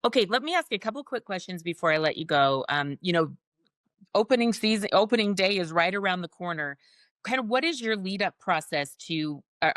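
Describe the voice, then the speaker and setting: Lower-pitched woman interviewer. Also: a bit bright, no echo, studio quality. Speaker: interview host. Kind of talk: casual conversational interviewing.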